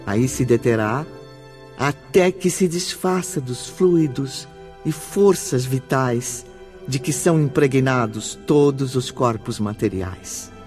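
A man narrating a radio drama in a solemn, measured, deliberate manner. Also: medium pitch, darkish tone.

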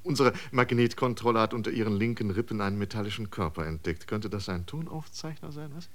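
A man's voice: fairly low pitch, animated, fast talker, fairly bright tone. A man playing an officious, prying airfield manager in a science fiction radio drama, clipped, tacking on little questioning interjections. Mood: officious, probing.

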